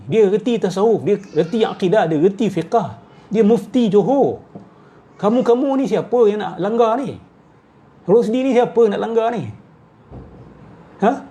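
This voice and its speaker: A man's voice: high voice, warm tone. A middle-aged man giving off-the-cuff, argumentative religious commentary, repeating his phrases and firing rhetorical questions.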